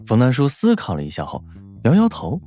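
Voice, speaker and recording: A man narrating a scripted audiobook in an expressive storytelling manner. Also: mid-range voice, brisk, faint music behind.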